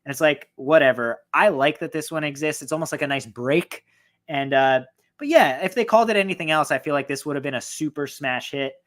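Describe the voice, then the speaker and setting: A man with a voice going hoarse, excitedly and profanely ranking horror films in a rambling, casual way. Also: on the high side, brisk. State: losing his voice, hoarse. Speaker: horror film reviewer. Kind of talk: casual film ranking talk.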